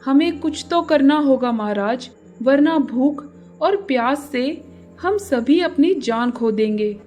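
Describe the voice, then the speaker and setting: A woman voicing serious, worried forest birds in a cartoon fable, speaking gravely. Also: high-pitched, animated, slow, a little mellow, faint music behind.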